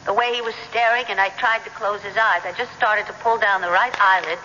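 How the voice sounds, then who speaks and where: An earnest young female rookie detective acted by a woman in a 1970s radio drama. She is questioning and persistent, with a bright, tense edge and some hesitancy. The sound is a muffled, echoing old broadcast. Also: medium pitch, fairly even intonation, fairly quick, natural voice. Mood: uneasy, hesitant. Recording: dull, noisy, reverberant 1970s radio recording.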